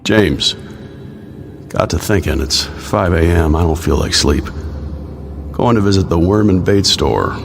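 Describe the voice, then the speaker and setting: Deep creaky agent guy, tired but dogged, radio play. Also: lively intonation, measured pace, long pauses, soft background music, exaggerated voice. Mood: restless, brooding. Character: weary, dogged American male agent, Bond's partner. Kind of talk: radio drama acting.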